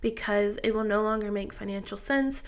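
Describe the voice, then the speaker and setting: Young woman, stuffy congested voice, chatty and methodical, mid-range pitch. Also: normal speed, clean tone. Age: 20s. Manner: chatty, methodical, with fillers and restarts. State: congested from a sinus infection. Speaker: personal finance vlogger. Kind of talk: casual explanatory talk to camera.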